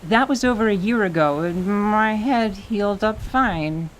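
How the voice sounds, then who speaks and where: A young tiefling girl, voiced by a woman for a fantasy radio play. She is confident and cheeky, with a sassy deadpan at a middle pitch. A faint noise sits under the recording. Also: unhurried, lightly acted, low-quality recording. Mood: defiant. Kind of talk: dramatized radio theater acting.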